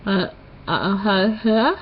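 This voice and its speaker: A young woman vlogging just after tonsil surgery, a little nasal, talking slowly through a sore throat with many pauses. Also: medium pitch, muffled recording, a little hiss or hum. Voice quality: slightly nasal. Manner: slow, halting, pauses often. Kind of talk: casual vlog to camera.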